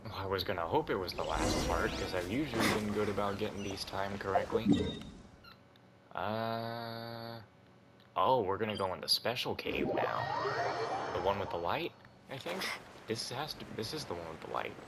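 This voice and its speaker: A man giving casual, halting gameplay commentary, thinking aloud in an offhand way. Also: lowish voice, lively intonation, very noisy. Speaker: video game playthrough host. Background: video game music.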